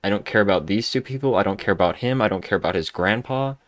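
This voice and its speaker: Low-pitched male gamer, casual commentary, laid-back, slangy, thinking aloud. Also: fast, a bit bright, no room sound, clean studio sound. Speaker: gamer, video host.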